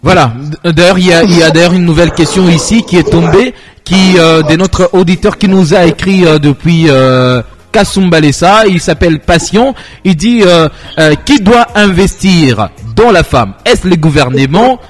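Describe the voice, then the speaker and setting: A man's voice: high-pitched, moderate pace, faint music behind. A man with a Congolese French accent hosting a live radio show, repeating himself as he brings in guests and questions. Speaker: radio host.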